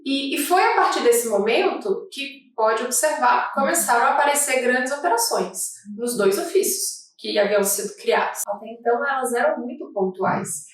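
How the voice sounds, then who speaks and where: A woman federal prosecutor from Brazil, with a Rio de Janeiro accent, giving a measured, thoughtful oral history interview with occasional restarts. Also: high voice, expressive, slight background noise. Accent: Brazilian Portuguese, Rio de Janeiro. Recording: echoey room, mic at a distance.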